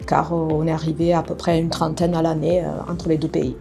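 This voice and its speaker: A woman from Italy with an Italian accent, presenting her work in an interview as an events manager, with hesitant, halting phrasing. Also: deep voice, little pitch movement, creaky, loud music behind the voice. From Molise, Italy. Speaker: trade fair and events manager.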